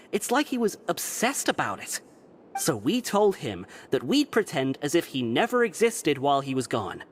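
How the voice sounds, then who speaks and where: A man's voice: high-pitched, fairly bright tone, slight background noise. An actor playing a loyal, earnest prisoner explaining things to his companions, with game music behind. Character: loyal male prisoner crew member, earnest. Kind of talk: game voice acting.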